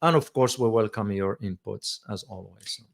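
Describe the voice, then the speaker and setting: A middle-aged man co-hosting a casual chat show, with a loud voice and a halting way of talking full of restarts. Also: fairly low pitch, vocal fry. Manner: loud voice, hesitant with many restarts. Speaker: co-host. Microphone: close mic.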